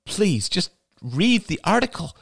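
A man voicing an anxious, defensive young woman in an audiobook, pleading with a partner in a halting, emotional manner. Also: high voice, sing-song, creaky, voice pushed up, exaggerated voice. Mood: pleading, indignant.

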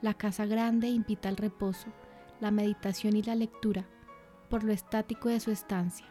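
A woman plays an ardent, dreamy lover in a radio drama, voicing a poetic letter. Her tone is soft-edged and mellow, lyrical in phrasing, with music underneath. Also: mid-range voice, little pitch movement, moderate pace, natural voice. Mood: dreamy, enamored.

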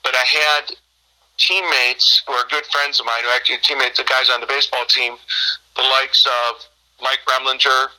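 Bright-voiced, even-toned American man in his fifties. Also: mid-range voice, moderate pace, rough recording. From Michigan, United States. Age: 50s. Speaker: baseball team owner and coach. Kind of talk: conversational interview answers.

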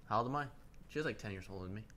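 A man's voice: on the low side, racing. A man in his twenties co-hosting a casual podcast, slangy and teasing, with some swearing. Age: 20s. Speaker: podcast co-host. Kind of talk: casual chat. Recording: clear background noise.

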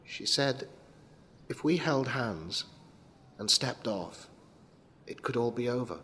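A man reading a short story as a dramatic narrator, in a measured and sombre manner. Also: medium pitch, lively intonation, fairly bright tone. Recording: long hall reverb, clear background noise.

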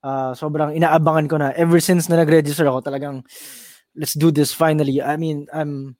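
Young Filipino male DJ, sincere and rambling, a bit high-pitched, dry close mic. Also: flat delivery, fairly quick, darkish tone, dry recording. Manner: earnest, repeats himself, mixes Filipino and English. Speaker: radio DJ co-host. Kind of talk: casual talk-show banter.

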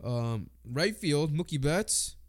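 A man's voice: fairly high pitch, lively intonation, slow pace. A man co-hosting a sports podcast with a congested voice, chatting informally and swearing casually. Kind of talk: casual sports chat, reading lists and predictions.

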